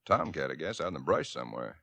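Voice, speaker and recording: A man's voice: extremely low pitch, very animated, fast talker, vocal fry, fairly bright tone, no room sound, exaggerated voice. An American man acting a weary, dismissive husband in a 1940s radio drama, in a plainspoken storytelling manner. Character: weary, dismissive young husband recounting an eerie night. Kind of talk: 1940s radio acting, first-person narration and dialogue. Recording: old radio recording with music behind.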